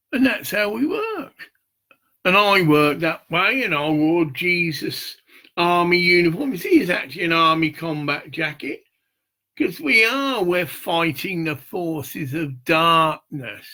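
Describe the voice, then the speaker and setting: An older man with a gravelly, husky voice, rambling and swearing in a loose monologue, often checking "get it?". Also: on the high side, sharp tone. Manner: rambling, profane, keeps asking "get it?". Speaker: street preacher.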